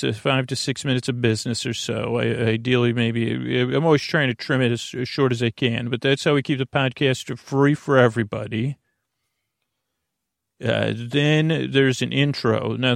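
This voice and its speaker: A man hosting a sleep podcast with a halting, meandering, self-deprecating and reassuring improvised monologue. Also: medium pitch, vocal fry, no echo, clean studio sound. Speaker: podcast host. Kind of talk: rambling improvised bedtime monologue.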